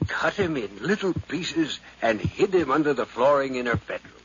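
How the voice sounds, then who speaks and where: An actor playing an affable, talkative gentleman with a genteel, chatty manner in a 1950s radio drama. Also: mid-range voice, expressive, normal speed, natural voice. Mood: chatty, amused. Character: affable, talkative gentleman fond of crime stories. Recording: dull, noisy 1950s radio recording.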